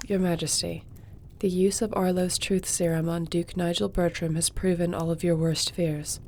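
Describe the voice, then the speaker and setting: Woman acting loyal fantasy court sorceress, lowish mellow voice, measured n formal, gently supportive. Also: a little hiss or hum, close to an everyday voice. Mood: composed, supportive. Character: loyal, composed court sorceress advising her king. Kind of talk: audio roleplay fantasy acting.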